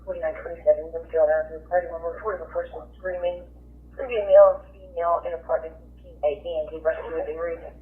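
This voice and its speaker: Female dispatcher reading bulletins, brisk and rapid, through a phone-thin police radio channel. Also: sits low, fairly even intonation. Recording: tinny narrowband police scanner radio.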